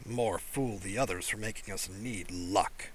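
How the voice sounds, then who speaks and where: An older alien patriarch from a science fiction audiobook, performed by a man. He speaks with measured command. He is stern and authoritative, yet tolerant with his own. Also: on the low side, slight background noise, pitched below the performer's own voice, lightly acted. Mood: bitter.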